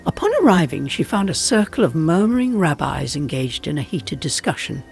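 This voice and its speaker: A man's voice: fairly high pitch, big pitch swings, normal speed, vocal fry. A man reading a scripted folk tale as a dramatic storyteller. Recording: music bed behind the voice. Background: music.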